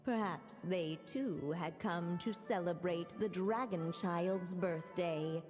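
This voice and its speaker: A woman playing a measured, teasing storybook narrator in a video game, telling a fairy tale in a deliberate, theatrical way. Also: fairly low pitch, darkish tone. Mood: arch, inviting. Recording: long reverb, music behind.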